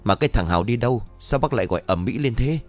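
A man voicing a brisk, gossipy village woman neighbor passing on news. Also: mid-range voice, animated, soft background music, voice pushed up, natural voice. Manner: chatty, brisk, tongue-clicking.

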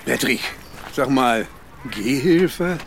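An actor playing a middle-aged police investigator in a German radio crime drama, familiar yet insistent and probing. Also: male voice, mid-range voice, lively intonation, takes it slowly, gravelly, music in the background. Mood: chummy.

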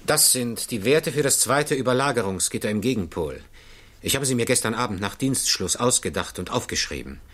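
An actor playing an earnest, stubborn idealist programmer with short, clipped replies in a 1960s German radio drama. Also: male voice, mid-range voice, lively intonation, fairly quick, a bit bright. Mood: earnest, defensive. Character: earnest nonconformist male programmer, stubborn idealist under suspicion.

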